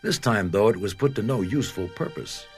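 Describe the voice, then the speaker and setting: A man's voice: lowish voice, lively intonation, fairly quick, soft background music. A man delivering polished, scripted narration for a documentary.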